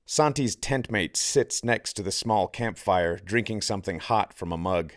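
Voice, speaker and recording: A man reading a novel aloud as scripted audiobook narration, in a measured, deliberate manner with dramatic pauses. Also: lowish voice, dry recording, clean studio sound. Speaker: author reading own novel.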